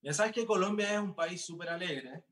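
A man's voice: high-pitched, normal speed, fairly bright tone. A young man, a singer chatting in an interview, upbeat and full of fillers and restarts. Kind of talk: casual interview chat.

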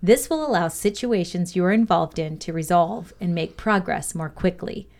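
A woman with a fairly low voice narrates an educational script. She reads aloud in a measured, deliberate manner, placing each phrase with care. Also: a little mellow. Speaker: reader of a values lesson.

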